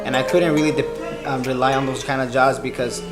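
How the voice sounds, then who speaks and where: A man's voice: medium pitch, steady tone, fairly quick, loud music behind the voice. A man in his twenties, a barber and vlogger, chatting casually and rambling with lots of fillers and restarts. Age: 20s. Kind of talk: casual chat.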